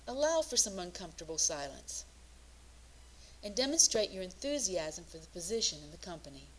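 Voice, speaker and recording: A woman presenting scripted instructional narration as a career coach, speaking in a measured, instructive manner. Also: medium pitch, fairly bright tone, poor audio.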